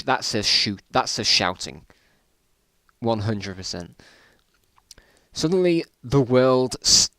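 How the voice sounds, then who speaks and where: A man's voice: lowish voice, a bit bright, no room sound. A British man reading stories aloud in an informal dramatic style, breaking off with amused, incredulous asides. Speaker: reader of his own old school stories.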